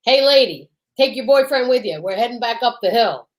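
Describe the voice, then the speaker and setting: A woman playing a brisk, impatient cable car conductor who calls out and hurries passengers in an old-time radio style. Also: on the high side, expressive, natural voice. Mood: brash, brisk. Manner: loud calling, hurrying passengers along.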